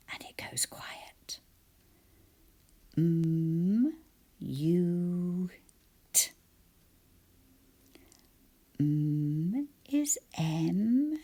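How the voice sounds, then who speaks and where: Slow, whispery woman teaching spelling. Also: fairly low pitch, gravelly. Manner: slow, soft-spoken, sounds out each letter.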